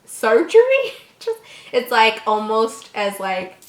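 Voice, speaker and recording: A woman's voice: a little high, wildly swooping pitch, slow. A woman reading a horror story aloud as a host, stumbling over words and breaking off into mocking, exasperated asides. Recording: echoey room.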